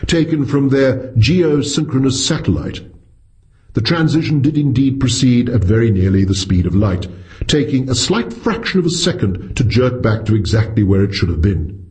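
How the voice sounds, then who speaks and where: Casting: male narrator for literary fiction, medium pitch, animated melodic delivery. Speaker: audiobook narrator.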